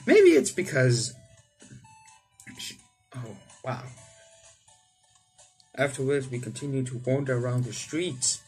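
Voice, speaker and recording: Young adult male gaming host, mid-pitched and very animated, theatrical in his reading and reactions, over game music. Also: takes it slowly, long pauses, breathy edge. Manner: exclamatory, theatrical asides. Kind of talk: visual novel let's play, reading and commentary. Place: indoor room.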